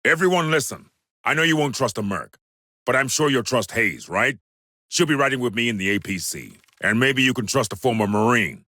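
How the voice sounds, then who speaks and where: An actor playing a tough former marine turned mercenary in a video game, talking in a dry, self-assured way. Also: male voice, mid-range voice, expressive, brisk, pushed and bright, no echo. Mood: cocky, rallying. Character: gruff mercenary, former marine, cocky turncoat. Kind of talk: video game cutscene acting.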